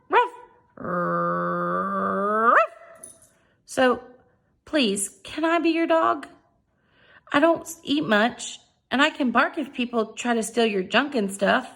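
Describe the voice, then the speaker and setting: An eager young stray dog from a storybook , acted out by a woman reader, speaking in a high voice. He is hopeful, polite and pleading, with playful cheek. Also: creaky, fairly bright tone, heavily acted.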